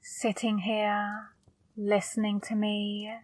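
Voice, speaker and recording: A woman playing a flirty, teasing female demon in an intimate ASMR roleplay, speaking softly and breathily, coaxing and gently scolding up close. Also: mid-range voice, monotone, takes it slowly, dry recording. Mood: seductive, scolding. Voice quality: soft, breathy, partly whispered. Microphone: close microphone, dry.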